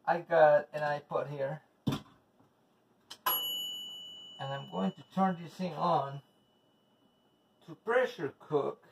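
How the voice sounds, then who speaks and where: A man's voice: a little high, slow, gravelly, long pauses, far from the mic. A middle-aged man with a Spanish accent, a native Spanish speaker, talking through a home cooking video with rambling, bitter, profane asides. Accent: Spanish-speaking, English as second language. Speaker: home cook vlogger. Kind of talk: casual cooking video with ranting. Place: home kitchen, indoors. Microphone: camera across the counter. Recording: voice from off-mic with faint noise.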